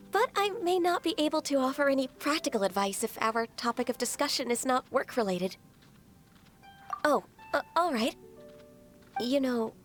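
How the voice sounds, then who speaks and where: A woman voicing a gentle, shy, dutiful half-human young woman in a video game, speaking politely and formally with some hesitance. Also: a little high, lightly acted. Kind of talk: video game character dialogue.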